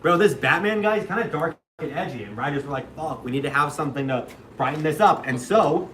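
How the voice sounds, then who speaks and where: A young man with a slightly high voice talks with excited, rambling enthusiasm, swearing casually and slipping into quick voices for the characters he describes. He sounds distant from the mic, and the room adds a clear echo. A faint hum sits underneath. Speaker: livestream co-host. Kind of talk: casual chat.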